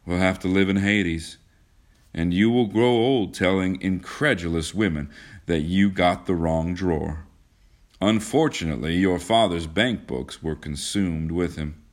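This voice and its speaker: A man's voice: low voice, unhurried. A man voicing an earnest, romantic young man in a storybook reading. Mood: gloomy.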